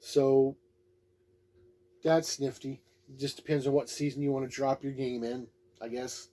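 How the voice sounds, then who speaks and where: A man's voice: mid-range voice, little pitch movement, a little slow, dark tone. Laid-back, plain-spoken man reviewing casually. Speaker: tabletop game book reviewer. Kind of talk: casual unscripted review talk.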